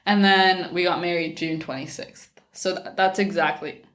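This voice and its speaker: A woman in her twenties co-hosting a casual couple Q&A vlog, chatty and giggly, in a small bright room. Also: on the low side, steady tone, moderate pace. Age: 20s. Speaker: co-host.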